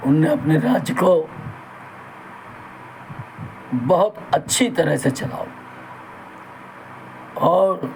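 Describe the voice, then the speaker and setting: A man speaks slowly and haltingly through a sore throat, his voice sitting a little high. His manner is folksy and reverent, and he addresses his listeners as brothers. A faint hiss of background noise runs under his talk. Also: big pitch swings, gravelly, a little mellow, rough recording. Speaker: channel host. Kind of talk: talk in Hindi with Bundeli dialect.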